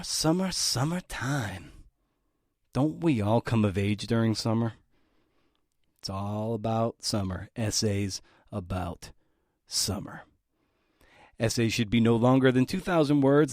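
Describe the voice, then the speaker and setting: A man's voice: on the low side, darkish tone, no room sound, studio quality. A man hosting a podcast, speaking conversationally and informally straight to his listeners. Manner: informal, addresses listeners directly. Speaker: podcast host and editor.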